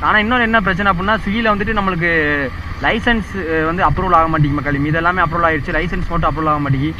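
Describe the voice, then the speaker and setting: A man's voice: fairly high pitch, steady tone, racing, dull audio. A young man, chatting casually to his viewers while riding a motorbike through city streets, rambling and repeating himself as he goes. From India. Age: young adult. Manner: chatty, addresses viewers constantly, rambling repetitions. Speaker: motovlogger. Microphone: helmet or chest action camera. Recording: traffic and wind noise.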